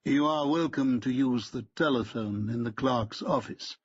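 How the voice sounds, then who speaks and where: A man's voice: mid-range voice, a little slow, breathy edge, dry recording, muffled recording. A man voicing a pompous, stiff middle-aged barrister who talks in a haughty, clipped, precise way.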